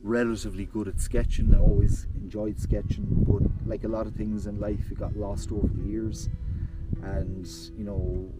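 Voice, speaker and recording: Low-voiced Irish man, reflective and halting. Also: little pitch movement. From Ireland (rural Galway). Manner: reflective, halting, with many restarts and fillers. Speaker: interview guest. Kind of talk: casual conversational interview. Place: outdoors on a hillside. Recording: distant mic with loud music bed.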